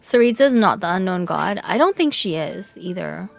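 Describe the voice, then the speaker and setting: A young woman streaming a game, chatting casually with her chat, swearing and yelling in excitement now and then. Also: on the low side, normal speed, gravelly, faint music behind. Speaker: VTuber streamer. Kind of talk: casual gaming livestream chat.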